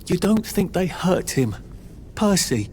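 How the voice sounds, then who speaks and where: A man's voice: high-pitched, very animated, slow, mellow, a little hiss or hum, exaggerated voice. An actor playing a polite, anxious young man with a British accent in a video game, hesitant and wry. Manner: hesitant, sardonic.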